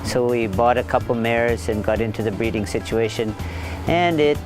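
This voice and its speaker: A middle-aged man speaks casually in a documentary interview, at a medium pitch. He is plain-spoken and pauses often as he goes. Music is clearly audible behind him. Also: rough recording. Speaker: horse farm owner and breeder.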